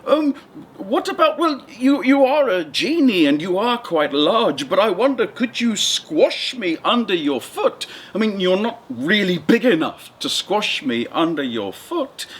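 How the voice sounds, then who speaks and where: A man's voice: high-pitched, big pitch swings, a little slow, slightly rough, voice pushed up, exaggerated voice. A man voicing a nervous but clever male fisherman who stammers and hesitates. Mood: sly.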